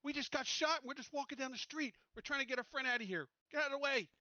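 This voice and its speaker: A man acting as a frantic, indignant man, loudly and urgently confronting a guard in a tabletop game. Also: extremely high pitch, fast talker, no room sound, highs cut off, voice pushed up, heavily acted. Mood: frantic outrage.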